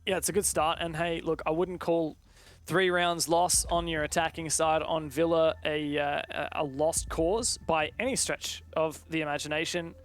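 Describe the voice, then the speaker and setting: A man doing live esports play-by-play commentary, getting excitable on big plays. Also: a little high, moderate pace, dry recording.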